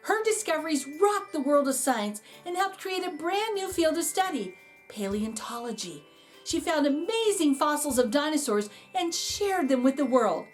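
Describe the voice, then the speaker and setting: A woman reads a children's story aloud in a fairly high, very lively voice. She stresses key words and pauses for drama. Faint music plays behind her. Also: rough recording. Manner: expressive, dramatic emphasis with pauses and hushed asides. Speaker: read-aloud host and storyteller. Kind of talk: children's picture book read-aloud.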